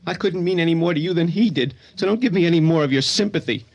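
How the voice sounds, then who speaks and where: An actor playing a grief-stricken, bitter young son in radio drama, with anguished, stammering outbursts. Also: male voice, a little high, fast talker, darkish tone, muffled recording. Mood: bitter, defiant. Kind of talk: old-time radio drama acting.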